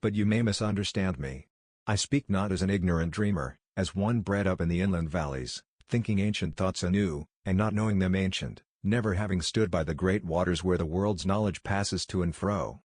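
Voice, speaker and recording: A frail, ailing scholar pours out his visions in fervent, solemn, old-fashioned phrasing, read by a man. The voice sits very low and deep. It is recorded dry and close. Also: normal speed, a little mellow, dry recording.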